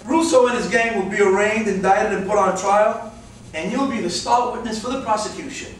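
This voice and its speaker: A man's voice: high voice, a little fast, natural voice. A man playing a commanding, official police special agent in a staged play reading. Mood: calm, official. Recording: very echoey room, distant mic, faint noise.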